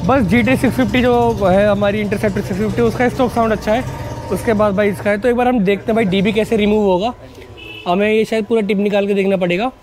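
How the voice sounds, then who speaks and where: A man's voice: sits high, fairly even intonation, fast, low-quality recording. A man, chatting casually and informally to viewers as a vlogger, mixing in English words. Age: 20s. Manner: informal, addresses viewers as buddies. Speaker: motorcycle vlogger and presenter. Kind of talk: casual vlog chat with English words mixed in. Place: narrow residential street outdoors. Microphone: wired lavalier microphone.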